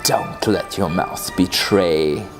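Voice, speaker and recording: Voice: sits low, slow pace, music in the background. A mischievous narrator of unclear sex giving a theatrical, gleeful dramatic reading of comic verse. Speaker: author and reader.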